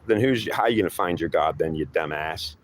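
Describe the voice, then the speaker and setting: A man's voice: rumbling low, animated, a little fast, a little hiss or hum. Man playing a scornful, menacing horned devil. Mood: mocking, stern. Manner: scornful, goading, repetitive lecturing. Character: taunting, menacing horned devil claiming to be God.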